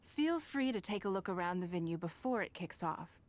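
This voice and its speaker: An actress playing a poised, wealthy businesswoman in a video game, speaking in a composed, gracious, gently teasing way. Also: lowish voice, expressive, no echo, close to an everyday voice. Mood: inviting, composed. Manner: polished, composed, measured.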